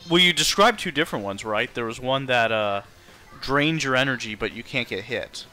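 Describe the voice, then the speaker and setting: A man streams a video game and chats casually as he plays. His voice is bright and very lively in pitch, sitting at an ordinary height, with game music playing behind him. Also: moderate pace.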